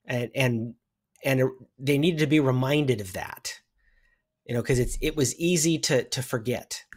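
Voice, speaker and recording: A middle-aged American man reflects aloud as a guest in a relaxed talk about faith. His pitch is average for a man, and he builds his thoughts as he goes. He is on a video call from a room at home. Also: unhurried. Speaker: discussion guest. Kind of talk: casual religious discussion.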